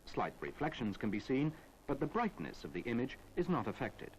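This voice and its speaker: A British man reading a measured, didactic narration for an instructional film from the 1970s. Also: mid-range voice, very animated, slight background noise, low-quality recording.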